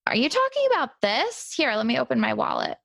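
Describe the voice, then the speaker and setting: Female host in improv sketch: higher voice, very animated, conversational and bemused, the straight role. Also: brisk, vocal fry, no room sound, studio quality. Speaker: podcast host. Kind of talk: improvised comedy role-play as herself.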